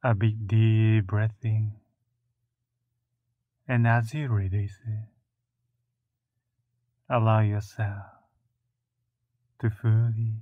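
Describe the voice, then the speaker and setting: A man leading a guided meditation in a soothing, measured way, with long pauses between short phrases and a narrow, muffled sound. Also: fairly low pitch, husky, no room sound.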